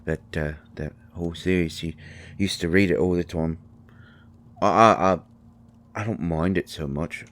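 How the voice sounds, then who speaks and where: A man acting in a roleplay audio drama as a gruff, folksy, flustered fellow who stammers and talks haltingly. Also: low-pitched, fairly even intonation, a bit bright. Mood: halting, reminiscing.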